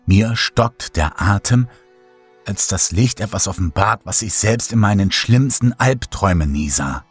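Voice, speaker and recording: A man reading a horror story aloud, in a slow, hushed and dramatic tone. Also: on the low side, lively intonation, slightly rough, soft background music. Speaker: audiobook narrator. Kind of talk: scripted horror narration. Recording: dry close recording.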